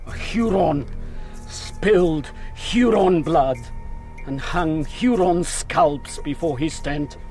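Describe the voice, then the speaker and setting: An actor playing an embittered, proud Huron warrior chief in a radio drama, speaking in a solemn, declamatory way. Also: male voice, on the high side, slow pace, a little mellow, faint music behind. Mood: anguished, bitter. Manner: solemn, declamatory, speaks of himself in third person.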